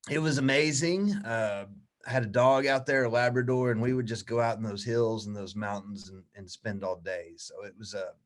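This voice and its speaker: A man around forty, a guest in a casual interview, telling stories in a relaxed, easygoing way. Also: lowish voice, normal speed. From United States.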